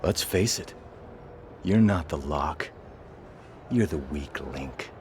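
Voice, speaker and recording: A man's voice: extremely low pitch, animated, slow, darkish tone, a little hiss or hum, heavily acted. A man playing a resentful young wrestler in a video game cutscene, speaking in a blunt, accusatory way. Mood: accusing. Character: defiant, resentful young male wrestler turning on teammate.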